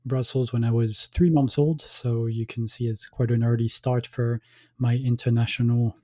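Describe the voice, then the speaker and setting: A man raised in Belgium whose first language is French, speaking as a guest academic. His tone is deep-toned and mellow, and the sound is close and dry. He answers in measured phrases with frequent hesitations. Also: flat delivery, dry recording. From grew up in Belgium, born in France. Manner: measured, thoughtful, with frequent "um" pauses. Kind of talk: conversational interview answers.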